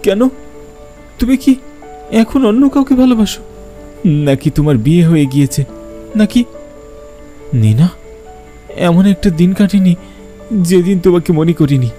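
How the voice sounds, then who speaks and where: A man playing an earnest, lovelorn young man, remorseful and yearning, in a dramatic animated horror story. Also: high voice, measured pace, long pauses, soft background music. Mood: pleading, yearning.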